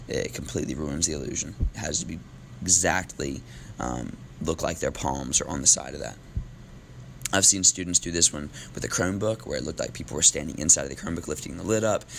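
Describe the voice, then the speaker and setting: A very low-voiced man with a gravelly edge, teaching a class while his voice is going. He talks loosely and casually, filling pauses with ums. A faint hiss of noise sits behind him. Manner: relaxed, casual with filler ums. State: losing his voice. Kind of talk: recorded classroom lesson.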